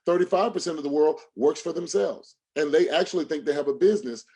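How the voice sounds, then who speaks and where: A man's voice: fairly high pitch, fast, a little mellow, breathy edge. A man giving a motivational sales talk on a livestream as a coach, speaking emphatically with preacher-like repetition and rhetorical questions.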